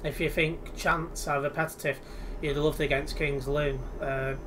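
Young english guy chatting on a headset stream, kinda high voice, noise behind. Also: flat delivery, moderate pace. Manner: chatty, easygoing, many restarts. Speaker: livestreaming gamer. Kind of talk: casual chat while playing. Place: indoors at home, in front of a computer.

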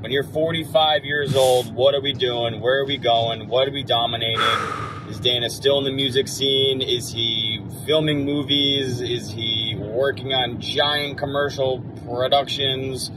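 Dude recording a hype question in his car on his phone, slow-ish talk, road noise. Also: mid-range voice, steady tone. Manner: hyped, rapid-fire questions. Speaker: call-in guest. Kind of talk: recorded video message. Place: inside a car. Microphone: phone at arm's length.

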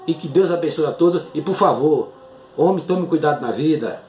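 A man's voice: on the high side, lively intonation, normal speed, a little mellow, reverberant, a little hiss or hum. An older man from Northeast Brazil with a Northeastern Brazilian accent, a doctor making an earnest, pleading appeal straight to camera from a small home office. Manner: earnest, pleading, gestures broadly with both hands. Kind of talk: impassioned direct-to-camera appeal.

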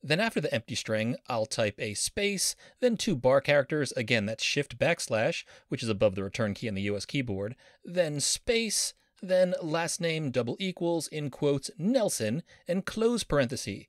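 A man's voice: fairly high pitch, very animated, moderate pace, hardly any pauses, no room sound, studio quality. A man teaching a coding lesson as a professor, playful and enthusiastic, with pop-culture jokes. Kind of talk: screen-recorded coding lesson.

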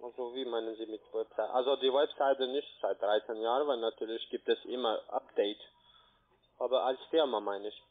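A man with a foreign accent in German, a non-native speaker talking haltingly in broken grammar as a call centre agent. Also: mid-range voice, fairly even intonation. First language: not German. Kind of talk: halting phone sales talk. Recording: narrow tinny phone line.

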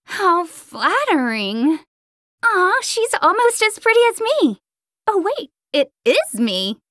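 A woman's voice: very high-pitched, wildly swooping pitch, takes it slowly, a bit bright, no room sound, studio quality, full character voice. A woman voicing a cocky, spirited young girl fighter in an anime video game, with a girlish, sassy, exclamatory delivery. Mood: cocky, playful. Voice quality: girlish, youthful. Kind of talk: English-dubbed anime video game battle lines.

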